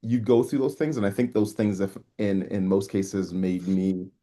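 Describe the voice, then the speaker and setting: A man's voice: fairly low pitch, steady tone, normal speed, mellow. A man raised in East Africa and Canada, a native speaker of a Ugandan language, reflecting casually as an interview guest in circling phrases. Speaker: podcast guest, track coach.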